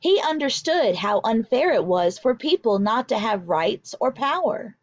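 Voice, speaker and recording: A woman teacher reading aloud to children in an expressive, deliberate, emphatic way. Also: on the high side, no room sound, highs cut off. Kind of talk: expressive children's read-aloud with vocabulary explanations.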